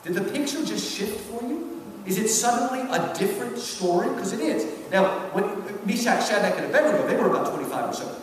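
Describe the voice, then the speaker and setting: Male lecturer, fifties, emphatic and very lively intonation, questioning style, heard at a distance in a reverberant hall. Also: high-pitched, fairly quick. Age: 50s. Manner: emphatic, rhetorical questions, checks with listeners. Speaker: lecturer, professor. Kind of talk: animated public lecture commentary. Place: large indoor hall. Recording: echoey hall, distant mic, background noise.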